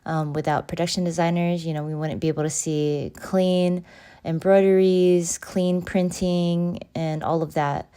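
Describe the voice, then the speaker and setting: A woman's voice: on the low side, steady tone, a little slow, mellow, clean tone. A woman in her thirties from Seattle, a graphic designer casually talking through her design work in a screen walkthrough, chatty and friendly, with lots of "um" and "you know". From Seattle, USA.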